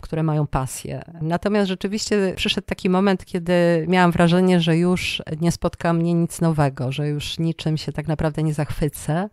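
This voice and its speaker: A woman's voice: sits low, a little mellow, clean tone, dry recording, clean studio sound. A middle-aged woman telling personal stories in a reflective, expansive way as an interview guest. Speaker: interview guest, storyteller and radio programme director. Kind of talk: conversational personal storytelling in an interview.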